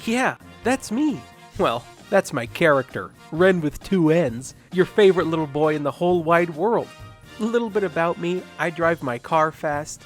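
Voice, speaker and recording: A man's voice: on the high side, lively intonation, moderate pace, faint music behind. A man hosting a video game channel, narrating a comedic scripted movie review with sarcastic, self-deprecating jokes.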